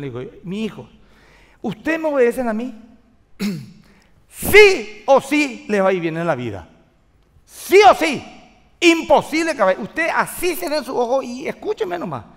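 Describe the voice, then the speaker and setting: A man in his forties with a Paraguayan accent, preaching a sermon on a church stage, acting out dialogue with lively gestures. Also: high-pitched. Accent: Paraguayan Spanish. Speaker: pastor. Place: large church stage with live congregation. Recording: church hall reverb.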